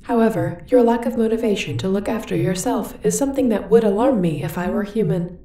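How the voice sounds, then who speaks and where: A female spaceship computer, voiced by a woman, set back from the mic in a roomy echo. Her voice is mellow and mid-pitched, and she talks in a formal, precise deadpan, polite and utterly literal, as in a sci-fi audio drama. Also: a little fast, distant mic, close to an everyday voice. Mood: dryly insistent. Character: polite, literal-minded female ship's artificial intelligence. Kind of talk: sci-fi audio roleplay acting.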